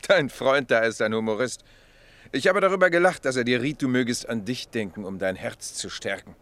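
An actor playing a worldly Roman army captain in a radio play, amused and drily mocking. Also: male voice, mid-range voice, normal speed, a bit bright, natural voice. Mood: sardonic, amused. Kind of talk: German radio play acting.

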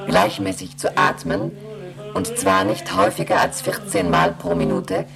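A woman playing an emotionless moon station computer in a radio play, reciting in a formal monotone. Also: rumbling low, measured pace, gravelly, crowd noise, heavily acted. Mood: impassive. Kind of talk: German radio play acting.

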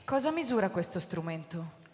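With music underneath and a hall reverb, a cool, elegant young woman speaks. Her voice is on the low side, composed and formal, as in a radio drama. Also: sing-song, moderate pace.

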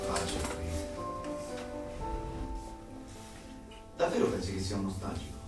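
An actor playing an anxious, nostalgic rebel clerk in an Italian dystopian radio drama, earnest and swinging from hushed to heated. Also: male voice, deep voice, fairly even intonation, fast talker, distant mic, close to an everyday voice. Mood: quietly defensive. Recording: echoey, off-mic radio play over music.

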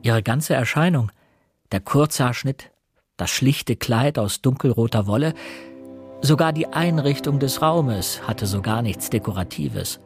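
An actor playing an observant young gentleman who narrates a murder mystery in a measured, literary radio drama style. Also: medium pitch, animated, breathy edge, dry recording, faint music behind.

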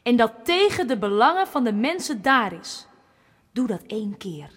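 A woman's voice: fairly high pitch, big pitch swings, normal speed. A woman playing a proud, defiant campaigner in a Dutch radio drama, speaking with pointed, sardonic rhetoric in a reverberant hall. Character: proud, defiant woman fighting for her flooded region. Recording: long reverb of a large hall.

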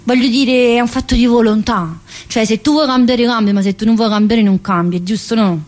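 A woman's voice: on the high side, steady tone, darkish tone, close to an everyday voice. An actress playing a talkative, troubled woman locked in a psychiatric prison, in a radio theatre monologue, with a Neapolitan accent and a colloquial, confiding, rambling manner. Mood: confiding, earnest. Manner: colloquial, confiding, rambling, with abrupt pauses and interjections.

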